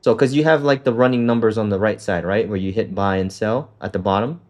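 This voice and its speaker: A fairly low-voiced man talks a student through a trading platform step by step. His tone is casual and loose, full of fillers, with the occasional swear dropped in. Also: brisk, darkish tone. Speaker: trading coach. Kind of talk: casual walkthrough on a call.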